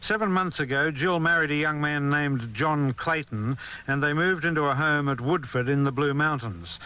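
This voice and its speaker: News commentator: Australian male, fairly high and forceful voice, solemn and measured radio delivery. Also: smooth, low-quality recording.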